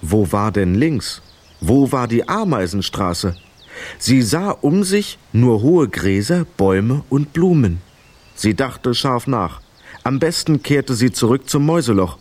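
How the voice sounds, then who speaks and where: A man narrating a children's radio play in an expressive, dramatic storytelling style. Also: medium pitch, a little slow.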